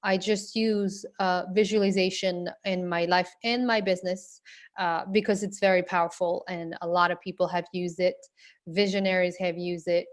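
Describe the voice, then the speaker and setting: A woman's voice: on the low side, level tone, normal speed. A woman from Morocco, an online business coach, giving a live online class in an earnest way with frequent fillers and restarts.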